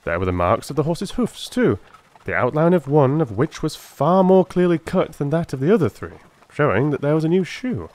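Man acting out a self-assured showy detective, raised pitch, very lively, precise, emphatic, storybook dialogue. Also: normal speed. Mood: confident, animated. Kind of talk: dramatised Victorian audiobook dialogue.

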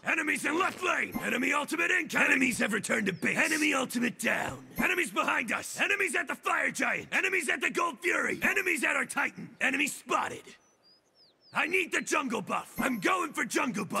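A big, cocky mutant turtle from a video game. The voice is extremely gravelly and very sharp, swooping widely as it boasts and taunts in punchy bursts. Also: male voice, extremely high pitch, expressive, brisk, exaggerated voice. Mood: urgent, punchy. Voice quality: gravelly, forceful. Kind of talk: video game character voice lines.

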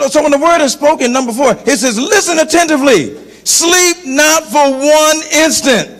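A middle-aged man preaching a sermon as pastor, animated and shifting between loud and soft delivery, on a handheld mic in a large church. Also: high-pitched. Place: large church sanctuary, indoors. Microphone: handheld microphone. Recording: long church reverb.

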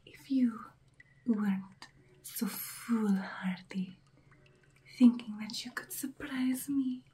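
Centuries-old female vampire, played by a woman, ASMR roleplay: menacing, condescending, breathy whisper, extremely unhurried delivery. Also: on the high side, mellow, heavily acted. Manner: slow, deliberate, taunting, condescending.

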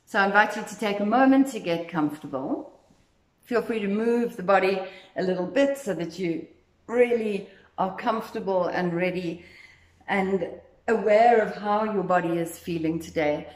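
A woman leading a guided meditation as instructor, speaking in a gentle, soothing, unhurried way. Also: medium pitch. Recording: echoey, roomy sound.

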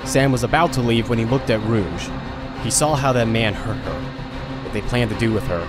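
A man narrating an audio drama in a steady, plain storybook style. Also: medium pitch, moderate pace. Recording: music bed underneath.

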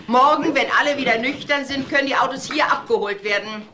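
A woman's voice: high voice, fairly quick, heavy background noise, natural voice. An actress playing an older, strict mother in a German crime audio drama, formal and imperious. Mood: commanding. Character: commanding, strict matriarch hosting a party. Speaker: mother of grown sons. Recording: loud music under the dialogue.

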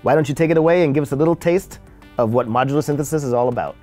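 A male host guides a casual interview about gear in a studio. He sounds agreeable and affirming, speaking at a middle pitch. There is music behind his voice. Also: racing, darkish tone. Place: small studio room, indoors.

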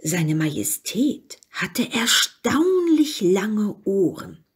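Expressive, slow-paced woman storyteller. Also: medium pitch, husky. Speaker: story reader. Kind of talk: expressive fairy-tale narration.

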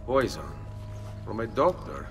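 An actor playing a cool, determined young Italian assassin nobleman in a video game, measured and polite, with an Italian accent. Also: fairly low pitch, very animated. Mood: curious, grateful. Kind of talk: video game cutscene acting. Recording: music behind.

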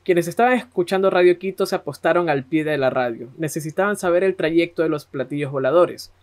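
A man's voice: on the high side, fairly quick. A man with an Ecuadorian accent hosting a podcast, narrating history in a conversational style. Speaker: podcast host.

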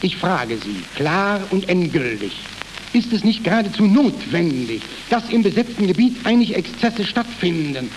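A man's voice: high-pitched, sing-song, normal speed, voice pushed up. A man reading the part of a young, radical freedom fighter in a stage play, declamatory and insistent. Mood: insistent, fervent. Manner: declamatory, pressing, emphatic. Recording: noisy, crackling historical archive recording.

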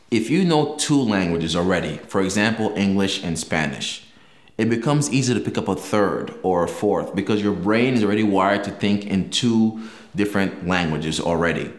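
A man's voice: low-pitched, echoey room. A young man whose native language is Yoruba, hosting a casual language-learning video and explaining things with examples and frequent restarts. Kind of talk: casual talk to camera.

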